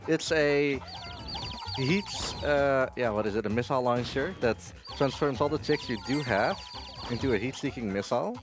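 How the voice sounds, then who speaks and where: A man's voice: mid-range voice, lively intonation, normal speed. Casual male speedrunner over game music. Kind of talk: casual live gameplay commentary. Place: live gaming marathon event.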